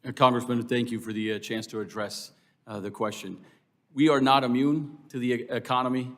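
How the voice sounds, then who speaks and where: A man's voice: mid-range voice, fairly even intonation, a little fast. American man in emphatic, formal testimony. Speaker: labor union leader testifying as a witness. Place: indoor committee hearing room.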